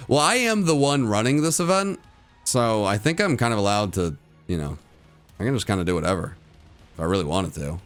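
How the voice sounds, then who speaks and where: A man's voice: lowish voice, expressive, fairly quick, soft background music. A young man streaming, chatting casually with viewers, rambling through fillers and restarts with a distinct voice people call good for radio. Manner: rambling, lots of fillers and restarts, laughs often. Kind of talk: casual livestream chat with viewers.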